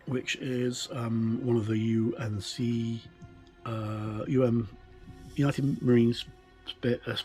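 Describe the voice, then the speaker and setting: A man's voice: medium pitch, a little mellow. A middle-aged man giving a casual walkthrough commentary on a book, hesitant and often correcting himself. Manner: hesitant, halting, self-correcting. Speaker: game reviewer.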